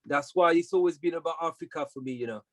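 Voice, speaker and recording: A laid-back man from France talks with a slightly raised pitch, often laughing as he rambles. The recording is dry and close, with no room sound. He speaks in an easy, unhurried interview tone. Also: no echo. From France, family from Martinique. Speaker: reggae artist guest. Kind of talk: relaxed conversational interview.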